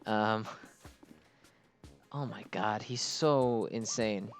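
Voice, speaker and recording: A man's voice: lowish voice, very slow. A man giving casual gameplay commentary in a rambling, easily distracted way. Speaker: gaming video host. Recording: game music behind the voice. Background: game music.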